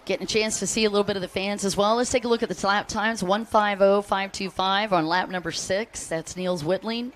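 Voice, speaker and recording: A woman's voice: fairly low pitch, a little hiss or hum. An American woman giving live race commentary, chatty and upbeat, calling out riders and greeting fans between lap updates. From United States.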